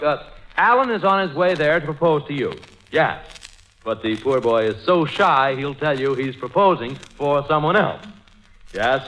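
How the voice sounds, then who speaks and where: An actor playing a pompous, scheming wealthy fop with an affected, gushing manner in 1940s radio comedy. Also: male voice, a little high, bright, forceful tone, rough recording. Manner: affected, gushing, repeats words with relish. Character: pompous, scheming, giggly wealthy male fop, nervously maladjusted. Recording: vintage radio recording with faint noise.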